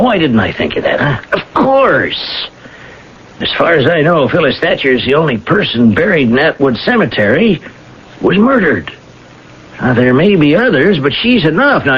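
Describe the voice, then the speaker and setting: An actor playing a blunt, excitable small-town sheriff with a folksy, colloquial manner in a 1980s radio drama. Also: male voice, fairly high pitch, husky, exaggerated voice. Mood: animated realization. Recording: dull lo-fi old radio recording.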